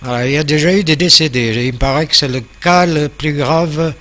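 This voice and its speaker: A man's voice: fairly high pitch, fairly quick, a bit bright. A man from Portugal chatting casually as an amateur radio operator, explaining things in a friendly way.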